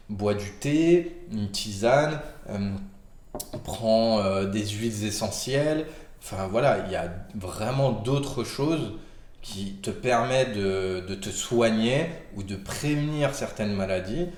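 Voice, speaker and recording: Man, has a cold, slow drawl, fillers and restarts, echoey room. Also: lowish voice, a little mellow. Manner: rambling, many fillers and restarts, addresses viewer as tu. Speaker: vlogger giving health advice. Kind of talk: casual advice to camera.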